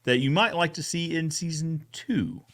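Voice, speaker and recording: A man's voice: on the high side, moderate pace, a bit bright. A man hosting a podcast recap in a casual, enthusiastic chatting style. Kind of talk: casual chat.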